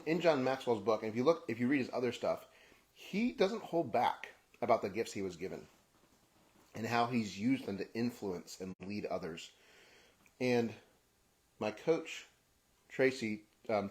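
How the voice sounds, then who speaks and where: A man raised in Kenya, speaking in a halting, reflective personal monologue that often restarts phrases and trails off softly at the ends. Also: medium pitch, lively intonation. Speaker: podcast host.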